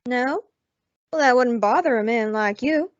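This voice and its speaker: A woman's voice: a little high, a little slow, no echo, close to an everyday voice. A young woman acting a hard, vengeful old widow in a radio Western, curt and scornful. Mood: bitter, hard. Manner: curt, scornful, rustic grammar. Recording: dull, dry lo-fi recording.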